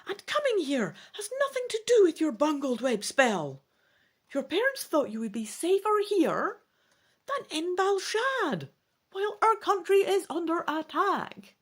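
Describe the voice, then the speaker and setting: A woman's voice: very high-pitched, sing-song, a little slow, voice pushed up, heavily acted. A woman voicing a stern, teasing two-hundred-year-old female elf tutor in a dramatic reading of a fantasy gamebook. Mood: sharp, hushed. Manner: whispering sharply at times, admonishing.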